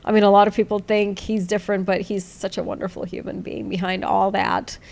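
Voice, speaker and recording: Low-toned woman with a gravelly edge. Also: level tone, fairly quick. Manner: low-toned, rambling, grateful shout-outs. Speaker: founder of a music site, interviewed. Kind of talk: casual interview chat.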